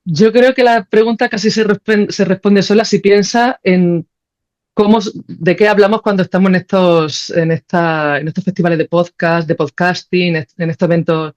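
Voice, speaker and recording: A woman's voice: lowish voice, steady tone, moderate pace, darkish tone. Emphatic woman, slightly muffled recording. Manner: emphatic, repeats phrases for stress. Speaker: podcast guest, sound creator. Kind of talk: casual, opinionated conversation.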